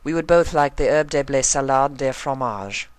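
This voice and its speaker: A man's voice: mid-range voice, moderate pace, clean tone, no room sound, voice pushed up, close to an everyday voice. A man voicing a refined, dramatic female pony with dainty, genteel phrasing in a storybook reading. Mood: polite, refined. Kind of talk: storybook character voice in fan fiction reading.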